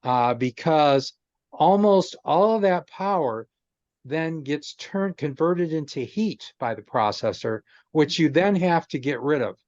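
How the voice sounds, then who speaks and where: A man's voice: a little high, expressive, a little slow, a little mellow, no room sound. Man explaining patiently over a dull call line. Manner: patient, explanatory, many fillers and restarts. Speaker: guest explaining chip technology. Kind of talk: casual chat on a video call. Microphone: video call microphone.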